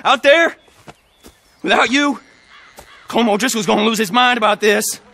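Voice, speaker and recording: An actor playing a nervous, pleading young American outlaw captive in a western game, stammering and eager to please. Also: male voice, sits high, normal speed, creaky, bright, forceful tone, heavily acted. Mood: desperate pleading. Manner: jittery, stammering, ingratiating. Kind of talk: video game cutscene acting.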